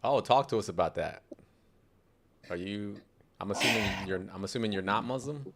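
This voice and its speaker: A man with a somewhat low voice hosts a live call-in debate podcast. He talks in a relaxed way, chuckling often and cutting in with quick remarks. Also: crowd noise. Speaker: podcast host. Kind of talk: casual live debate chat.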